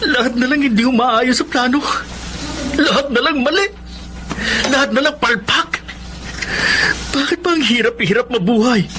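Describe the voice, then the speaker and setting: An actor playing a hapless, defensive young dreamer in an emotive Tagalog radio drama, with a Filipino accent. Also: male voice, very high-pitched, normal speed, pauses often, noisy background. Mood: despairing. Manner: emotive, repeats phrases for emphasis. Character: Roman, hapless striving young man, frustrated, defensive dreamer.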